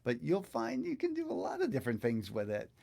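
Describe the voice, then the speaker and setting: Older man with a gravelly, creaky voice. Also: mid-range voice, wildly swooping pitch, fairly quick, warm tone. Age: older, 50s to 60s. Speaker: guitar teacher hosting a live online session. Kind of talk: casual live tutorial. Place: indoor home studio.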